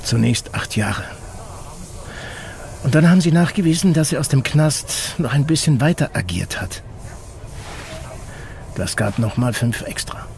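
An actor playing a guarded, stern federal intelligence officer in an audio drama, terse and controlled. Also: male voice, mid-range voice, lively intonation, unhurried, vocal fry, long pauses, a little mellow, a little hiss or hum. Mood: hesitant, guarded. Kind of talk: naturalistic German audio drama acting.

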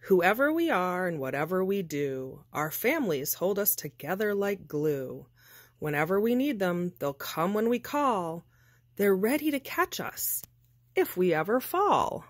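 A woman reading a children's picture book aloud with deliberate, expressive, measured phrasing. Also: on the low side, dry recording, studio quality. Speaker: storybook reader.

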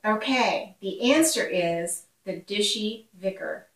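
A woman's voice: mid-range voice, expressive, slow, fairly bright tone. A woman in her sixties, a novelist, giving a scripted book introduction in an echoey kitchen, away from the microphone. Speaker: mystery novelist. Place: home kitchen. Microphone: camera a few steps away. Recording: roomy kitchen echo, distant microphone.

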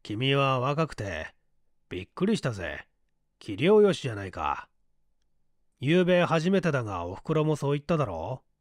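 A man's voice: mid-range voice, sing-song, moderate pace, dry recording, studio quality, lightly acted. A man playing a male office section chief in an audiobook, speaking in a familiar, casual way. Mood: teasing. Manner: familiar, questioning.

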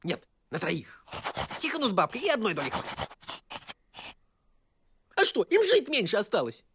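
A man voicing a cunning, cowardly talking horse in an animated comedy, chattering in a wheedling, theatrical way. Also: high voice, normal speed, noisy background, exaggerated voice. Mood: scheming, scornful. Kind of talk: animated comedy film acting.

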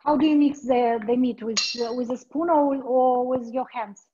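A woman's voice: high voice, fairly even intonation, moderate pace, dark tone, muffled recording, soft background music. A young woman hosting a cooking chat from her home kitchen over a video call, asking questions with hesitant fillers. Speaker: host. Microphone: laptop video call.

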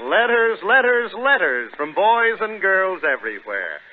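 High-voiced 1930s male radio announcer. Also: big pitch swings, a little slow. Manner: folksy, enthusiastic. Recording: tinny 1930s radio recording, music behind.

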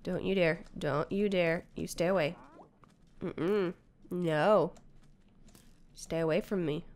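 A woman in her thirties on a headset mic, chatting casually as she plays a game, muttering to herself and scolding the creatures on screen, her voice going hoarse. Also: on the low side, takes it slowly, a little mellow. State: losing her voice, coughing. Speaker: gamer. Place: home room with bookshelves.

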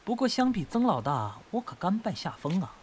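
A man's voice: sits high, sing-song, brisk, a little mellow, a little hiss or hum. A man playing a crude, menacing, mocking gangster kidnapper in an audio drama, taunting and foul-mouthed. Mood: mocking menace.

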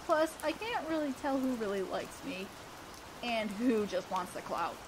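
A young woman playing an awkward, earnest teenage student council president in a romantic audio roleplay, speaking in a shy, halting way. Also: fairly high pitch, lively intonation, background noise. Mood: embarrassed, self-conscious.

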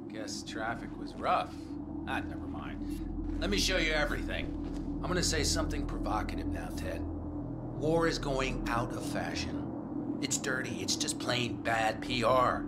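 Man acting video game tech salesman, smug, boastful, showy patter, over-familiar, music loud behind him. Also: mid-range voice, close to an everyday voice. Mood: breezy, eager. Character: slick, boastful male tech executive pitching combat robots.

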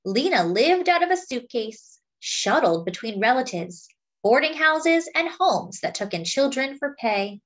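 High-pitched, lively woman reading a picture book. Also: a little slow. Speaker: story-time reader, teacher to children. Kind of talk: read-aloud of a children's picture book.

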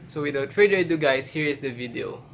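A young man with a Filipino accent chats to his camera in a small bedroom. He talks quickly and encouragingly, slipping between languages in a casual vlog style. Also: mid-range voice, gravelly, over loud music, low-quality recording. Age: young adult. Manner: fast, code-switching, encouraging. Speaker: vlogger and amateur singer.

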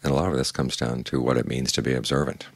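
An older man, a Buddhist monk, giving a calm, measured evening meditation talk in plain words. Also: extremely low pitch, no room sound.

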